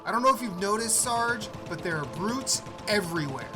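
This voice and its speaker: An actor playing an excitable young-sounding male Marine in a sci-fi audio drama, exclaiming under stress. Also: high voice, moderate pace, over music. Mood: sarcastic, stressed.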